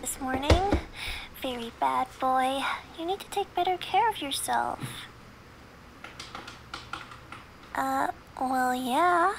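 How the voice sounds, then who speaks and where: A woman's voice: high voice, measured pace, creaky, noisy background. A woman playing a possessive, jealous young girlfriend in an ASMR roleplay, sweetly menacing and teasing, slipping into whispers. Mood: sweet, clingy. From Canada.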